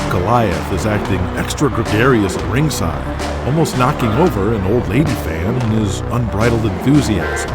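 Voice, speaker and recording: A man reading scripted narration for an audio drama in a dramatic storytelling style. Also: on the low side.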